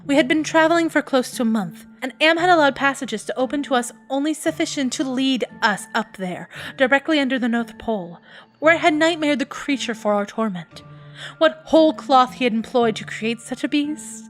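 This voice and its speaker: Female audiobook narrator, higher register, theatrical and emphatic first-person storytelling over music. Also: lively intonation, normal speed.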